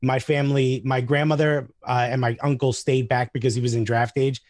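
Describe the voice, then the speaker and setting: A man chatting casually as a comedian guest, telling anecdotes in an animated way and acting out the dialogue. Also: mid-range voice, a little fast, dry recording, studio quality. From Newark, New Jersey, USA.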